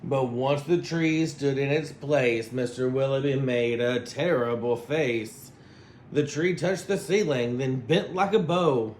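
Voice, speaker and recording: A man's voice: mid-range voice, slow, darkish tone, roomy sound, a little hiss or hum. A man reading a rhyming picture book aloud as a teacher, rhythmically, with asides to his listeners.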